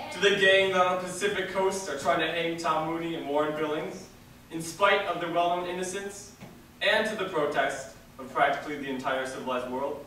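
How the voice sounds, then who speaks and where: A young man reading a political speech as theatrical oratory, declaiming with dramatic pauses and emphasis. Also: fairly high pitch, fairly bright tone. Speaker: reader of a historical speech. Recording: echoey hall from far off, faint noise.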